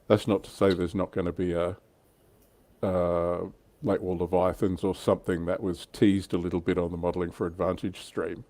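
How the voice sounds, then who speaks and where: Low, mellow-voiced man hosting casually. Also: flat delivery, moderate pace, dry recording. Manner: informal, conversational. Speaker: livestream host. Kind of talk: casual tabletop wargaming livestream chat.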